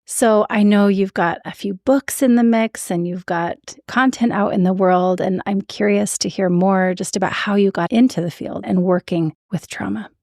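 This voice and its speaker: A woman works as a podcast host, introducing and interviewing. Her voice sits at a middle pitch for a woman. The sound is dry, with very little room to it. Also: normal speed, hardly any pauses, a little mellow, no room sound.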